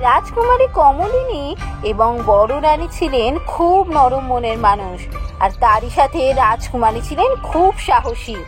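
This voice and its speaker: A woman reading scripted fairytale narration as the storyteller of a cartoon. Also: high-pitched.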